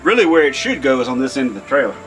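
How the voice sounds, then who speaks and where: A man chatting casually while doing hot outdoor yard work, in an easygoing way with some drawn-out words. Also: mid-range voice, sing-song, a bit bright, faint music behind. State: hot and working hard. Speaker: vlog host. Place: outdoors in a backyard by a deck.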